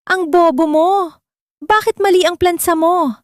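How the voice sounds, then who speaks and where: High-pitched, furious, haughty woman customer acted by a female narrator, scornful, audio drama, dry. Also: a little slow, no room sound. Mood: furious, contemptuous. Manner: scornful, scolding. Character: furious, haughty rich female laundry client.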